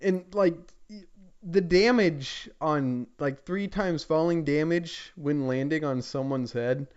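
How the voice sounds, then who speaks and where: A man's voice: a little high, slow pace, darkish tone, smooth. A man co-hosting a podcast in casual gaming chat, heard on a dull, lo-fi recording. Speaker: podcast co-host.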